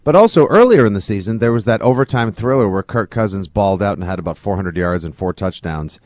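A man with a slightly low voice banters about football as a podcast co-host. He is wry and colloquial, and the recording is close and dry. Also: animated, fast talker, darkish tone, dry recording. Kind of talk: casual, joking sports banter.

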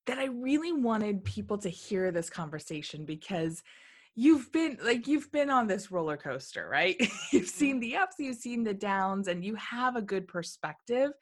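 Lively, laughing woman podcast host. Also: mid-range voice, a little slow. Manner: conversational, laughs often. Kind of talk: casual interview chat.

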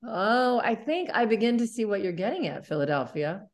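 A woman's voice: medium pitch, sing-song, normal speed. Earnest woman co-host with mid-range voice. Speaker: show co-host. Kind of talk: scripted educational discussion.

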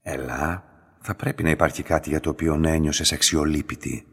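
An actor playing a calm, enigmatic middle-aged laboratory master in a radio drama, measured and quietly insistent, with a husky voice. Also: male voice, full character voice. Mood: probing, insistent. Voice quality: deep, husky. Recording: long hall-like reverberation.

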